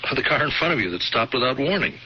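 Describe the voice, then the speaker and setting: An actor playing a halting, polite state trooper. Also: male voice, medium pitch, expressive, lightly acted. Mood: probing. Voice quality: halting, full of "uh" and "well". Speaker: radio drama actor. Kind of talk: 1970s radio drama acting. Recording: lo-fi old radio broadcast, faint hiss.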